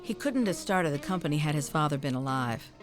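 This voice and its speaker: A woman reads scripted documentary narration in a measured, formal storytelling manner. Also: low voice, animated, soft background music.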